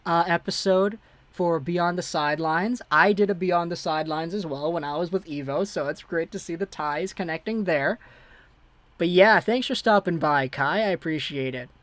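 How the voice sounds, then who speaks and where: A man's voice: high-pitched, a bit bright, dry recording. A young man hosting a casual hockey livestream, chatting loosely as he reads viewer messages aloud and thanks subscribers. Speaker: livestream host. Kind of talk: casual live chat, reading viewer messages.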